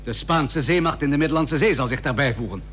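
A man playing a haughty, argumentative admiralty gentleman in a historical radio drama, formal and pressing. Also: fairly high pitch, fast talker, natural voice. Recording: noisy old radio recording with hum.

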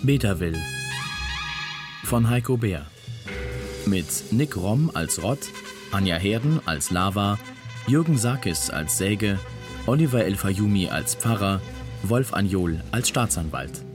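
Male announcer reading drama credits, lowish voice, slow, guitar playing under. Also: expressive. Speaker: radio play announcer. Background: guitar music.

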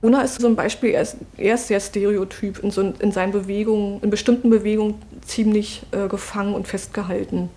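A woman's voice: mid-range voice, steady tone, a little fast, hardly any pauses, warm tone. A woman who leads a theatre group, talking reflectively in an interview.

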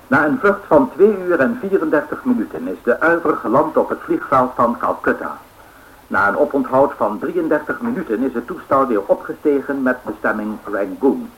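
An actor playing a formal male radio announcer of the 1930s, reading measured news bulletins in a radio drama. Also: mid-range voice, a bit bright, a little hiss or hum, rough recording.

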